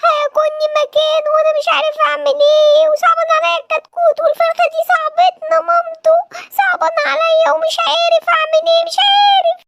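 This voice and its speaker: A woman with an Egyptian accent voicing a frightened little male rabbit in a children's story, squeaky and stammering. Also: flat delivery, quick pace, vocal fry, very noisy. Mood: anxious. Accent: Egyptian Arabic.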